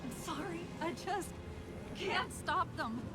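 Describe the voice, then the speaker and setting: An actress playing a fragile, failing female artificial intelligence in a video game, halting, anxious and overwhelmed. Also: very high voice, lively intonation. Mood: anguished, helpless. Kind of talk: video game cutscene acting. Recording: loud music over the voice.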